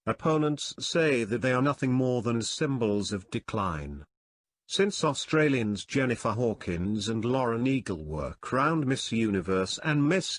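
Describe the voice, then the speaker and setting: Man, scripted read-aloud, animated pitch movement, dry close sound. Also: dry recording. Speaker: exam practice reader.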